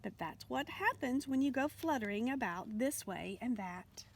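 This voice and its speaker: A woman's voice: fairly high pitch, lively intonation, moderate pace, creaky, a little hiss or hum. A woman voicing a motherly, knowing mother sheep in a children's storybook, with a matter-of-fact manner. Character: motherly adult female sheep, gently knowing.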